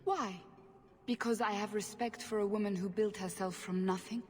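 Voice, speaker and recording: A woman's voice: mid-range voice, fairly even intonation, moderate pace, slightly rough, big-room sound, natural voice. An actress playing a stern, earnest woman investigator in a video game, questioning in a probing manner. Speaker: interrogator. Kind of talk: video game cutscene acting.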